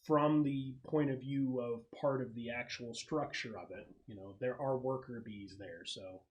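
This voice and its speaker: A man's voice: medium pitch, measured pace, dull audio. A man explaining and narrating casually as game master of a tabletop roleplaying session. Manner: explanatory, conversational.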